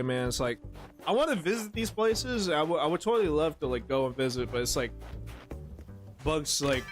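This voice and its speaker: Male streamer, casual and profane, rambles through jokey stories over faint game music. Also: medium pitch. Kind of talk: casual gaming stream chat.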